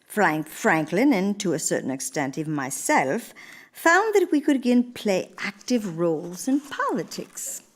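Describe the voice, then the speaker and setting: A woman playing an earnest, idealistic older First Lady in live radio theater, speaking in a measured, oratorical manner. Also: medium pitch, sing-song. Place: live stage before a studio audience in a church.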